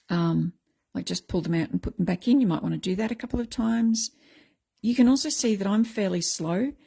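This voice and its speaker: Croaky woman demonstrating a craft. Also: lowish voice, mellow. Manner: chatty, self-deprecating, explaining step by step. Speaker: craft tutorial host.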